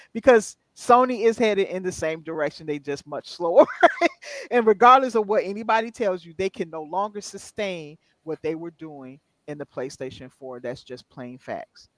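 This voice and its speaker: A man's voice: sits high, dry recording. A man joining a podcast as a guest, talking casually in a reassuring, explanatory way. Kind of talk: casual chat.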